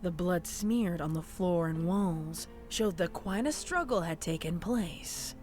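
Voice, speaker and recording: Low-pitched woman narrating a dark story. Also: slow pace, soft background music. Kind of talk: dramatic scripted horror fiction narration.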